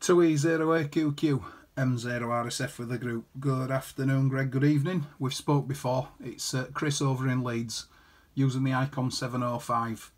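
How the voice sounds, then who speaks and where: A man's voice: medium pitch, steady tone, moderate pace. A man chatting casually as an amateur radio operator, reading out callsigns and figures. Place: indoor room beside a desktop transceiver.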